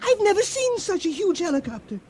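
A woman's voice: very high-pitched, big pitch swings, a little fast, darkish tone, soft background music. A woman playing an eager, awestruck islander who fishes and loves telling tales, in a radio play. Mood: excited awe. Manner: eager, marvelling.

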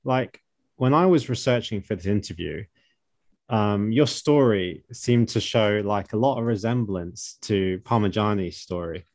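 A man's voice: fairly low pitch, a little slow, a little mellow, dry recording. Man hosting in a dry, close sound. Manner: friendly questioning, frequent "like" and "right?". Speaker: podcast co-host. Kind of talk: hosted interview.

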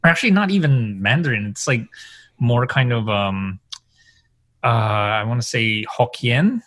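A man's voice: mid-range voice, animated, a little slow, a bit bright, dry recording. A man from the Philippines, a guest in a casual conversation, rambling and filling his talk with "like" and "um". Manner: rambling, heavy use of "like" and "um".

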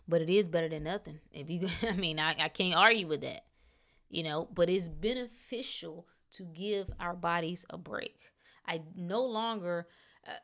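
A woman's voice: fairly low pitch, a bit bright. Emphatic, chatty woman vlogger. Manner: emphatic, repeats phrases, chatty asides. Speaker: health and detox vlogger. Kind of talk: casual talk to camera.

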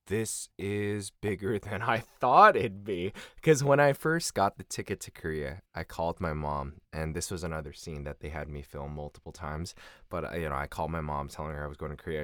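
A young American man, a guest in a casual interview, tells stories with lots of laughter and acts out other people's lines in a higher voice. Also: dry recording, clean studio sound. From United States. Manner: animated, laughing storyteller who acts out quotes in a higher voice.

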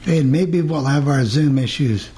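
A man co-hosting a radio talk show over a video call, halting and stern. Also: fairly high pitch, mellow, slight background noise. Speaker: co-host. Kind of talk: casual panel chat.

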